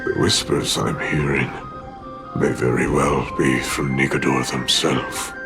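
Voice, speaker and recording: A brooding, world-weary game lord. His voice is extremely low and creaky, with slow, scornful gravity. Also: fairly even intonation, over music. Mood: brooding, suspicious. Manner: grave, deliberate, scornful. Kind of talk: video game voice acting.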